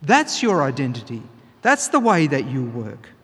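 A man preaching a sermon, earnest and emphatic, pressing his key points louder. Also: medium pitch, wildly swooping pitch, normal speed, husky, in a large hall. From Australia.

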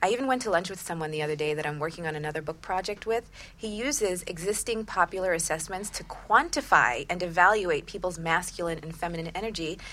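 Casting: woman, middle-aged, lowish bright voice, enthusiastic relationship talk show presenter, half scripted, half chatty. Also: fast, few pauses. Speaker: radio talk show co-host and relationship coach.

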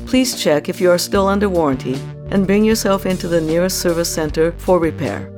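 An actress playing an officious dystopian announcer, reading a formal, deadpan scripted disclaimer for an audio drama. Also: female voice, on the low side, a little fast, over loud music, close to an everyday voice. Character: officious dystopian announcer addressing humans and machines.

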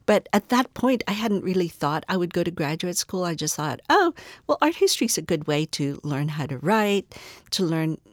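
Woman, middle-aged, low voice, thoughtful, restarts often, dry close sound. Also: big pitch swings, normal speed, no room sound. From Philippines. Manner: thoughtful, repeats words while searching for phrasing. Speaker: museum curator, guest. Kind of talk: reflective interview answers.

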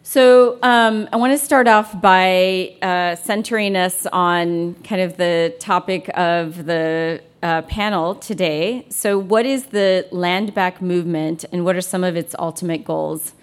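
A woman with a fairly low voice speaks as a panel moderator. Her delivery is formal and orderly, suited to introducing guests and posing questions. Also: fairly even intonation, slow pace, few pauses, smooth.

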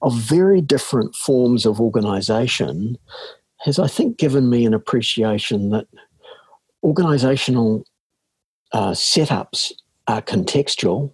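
Husky New Zealand man on a video call. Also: medium pitch, lively intonation, a little mellow, no echo. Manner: measured, with frequent fillers and restarts. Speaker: guest, leadership consultancy chair. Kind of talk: thoughtful interview answers. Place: home in a village.

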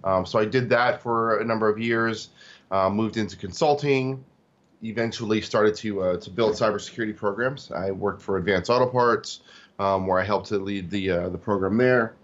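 A man in his forties, a guest in an interview, talking in a halting way with many fillers and restarts. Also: lowish voice, dull audio. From Tacoma, Washington, US. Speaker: guest, cybersecurity executive. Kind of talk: interview conversation.

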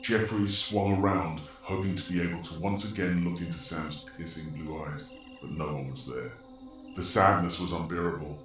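A man's voice: low voice, fairly even intonation, moderate pace, over music, close to an everyday voice. A man performing grave, suspenseful scripted narration for a horror audio drama. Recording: heavily echoing, distant, faint night ambience.

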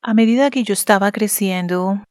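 A woman's voice: mid-range voice, normal speed, darkish tone, dry recording, clean studio sound. A woman giving a spoken interpretation of a lecture, with hesitant fillers and restarts. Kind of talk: Spanish voice-over interpretation of a lecture.

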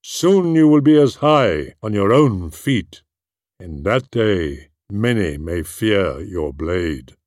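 A man voicing a low, solemn warrior father. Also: sing-song, a little mellow, dry recording, studio quality. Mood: grave, proud. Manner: measured, solemn. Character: fatherly warrior lord, proud, grave and kind to his son. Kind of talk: audiobook character voice.

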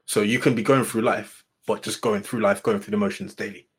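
A man with a low, husky voice speaks in a fairly even, unvaried tone. He makes punchy points built on familiar sayings and closes them firmly. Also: a little fast. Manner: punchy, quotes sayings, ends points with "full stop". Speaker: panel guest. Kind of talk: casual discussion.

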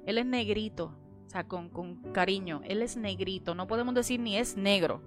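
Puerto Rican-accented woman in lively church talk. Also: medium pitch, moderate pace, over music. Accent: Puerto Rican Spanish. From Miami. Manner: animated storyteller mixing English words. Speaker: panelist. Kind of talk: casual church discussion.

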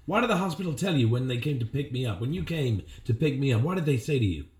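An actor playing a confused, weary amnesiac in a film noir audio play, halting and repetitive, with hard-boiled phrasing. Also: male voice, medium pitch, lively intonation, a little mellow, lightly acted. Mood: puzzled, probing.

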